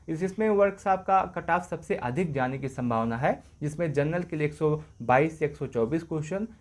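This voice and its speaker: A man presenting exam updates as an informal explainer, going over points repeatedly in a reassuring way. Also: on the high side.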